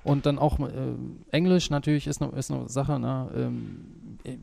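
A man's voice: medium pitch, steady tone, normal speed, gravelly, dark tone, a little hiss or hum. A man as the interview guest, a small business owner, talking through his work in a hesitant way with many fillers. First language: German. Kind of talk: conversational interview.

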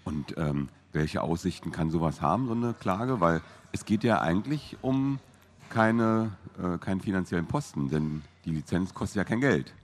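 A man's voice: low-pitched, lively intonation, moderate pace. A man chatting informally as an interviewer, in a loose conversational manner. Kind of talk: casual interview chat.

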